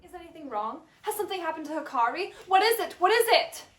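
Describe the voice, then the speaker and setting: A woman's voice: extremely high pitch, expressive, fast. A young woman in an amateur stage play acting a desperate, grieving mother, insistent and frantic. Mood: anxious. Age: young adult. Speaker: student actor. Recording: echoey room.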